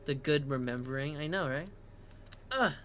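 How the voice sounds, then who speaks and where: Bright-voiced male streamer, faint room hiss. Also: a little high. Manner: stumbles over hard words while reading in-game text aloud; reads lore in a slower, calmer voice. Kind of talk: casual game stream chat and reading aloud. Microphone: headset.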